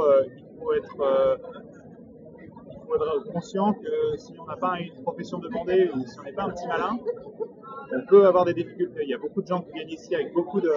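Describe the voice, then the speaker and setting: Young man from Brittany, distant and noisy. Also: fairly high pitch. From Brittany, France. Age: 20s. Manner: measured and advisory, many hesitations. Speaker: entrepreneur interviewee. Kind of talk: interview monologue. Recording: distant, muffled mic with loud background noise.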